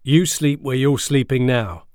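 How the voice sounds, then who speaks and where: A man's voice: medium pitch, measured pace, a little mellow, dry recording, studio quality, voice pushed up, lightly acted. A man voicing a stern, angry father who barks orders and growls in a storybook reading. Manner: barking, stern.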